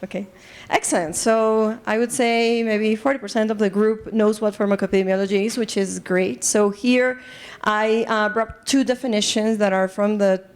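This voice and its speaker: A woman's voice: medium pitch, steady tone, normal speed, clean tone. Colombian woman giving an academic lecture. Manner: earnest, lots of fillers and restarts, engages audience. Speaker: assistant professor, presenter. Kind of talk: academic lecture to a live group. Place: conference room.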